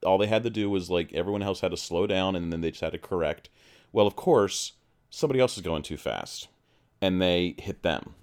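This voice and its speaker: A man's voice: sits low, brisk, darkish tone. A young man from the mid-Atlantic US chatting casually, blunt and swearing, laughing at his own jokes. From mid-Atlantic United States. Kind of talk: casual podcast chat.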